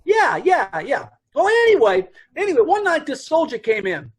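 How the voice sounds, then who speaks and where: A man voicing an anxious middle-aged dance hall owner who rambles and trips over his grammar, his voice pitched high and swinging widely. He sounds uneasy, as in an old-time radio play. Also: very animated, normal speed.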